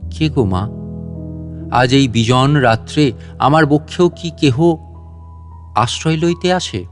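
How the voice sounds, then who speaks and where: Male narrator as spirit of an ancient road, mid-pitched, solemn, tender, pleading, storybook reading, music behind. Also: slow pace, lightly acted. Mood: tender pity. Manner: pleading rhetorical questioning, solemn.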